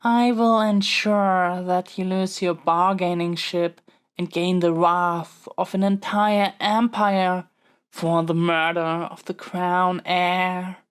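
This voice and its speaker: A woman's voice: lowish voice, little pitch movement, slow, smooth. A woman playing a pampered young royal heir in a scripted audio roleplay, earnest and formal, with a wordy, haughty yet flustered manner. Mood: dramatic blackmail.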